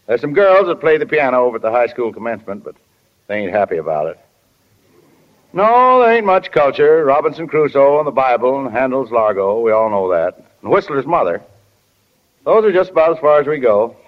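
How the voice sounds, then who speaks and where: An actor playing a wry, folksy small-town American man, slow to commit, in 1940s radio drama style. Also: medium pitch, big pitch swings, close to an everyday voice. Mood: plain, candid. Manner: folksy, drawling, deliberate. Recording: dull, echoing 1940s radio recording.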